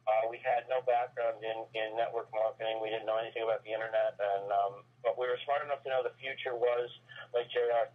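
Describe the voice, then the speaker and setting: A man's voice: medium pitch, fairly even intonation, fairly quick. A man giving an earnest, folksy motivational sales talk over a conference call, often repeating phrases and listing items. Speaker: network marketing business owner, guest speaker on a team call. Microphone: telephone. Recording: very muffled, tinny telephone conference line.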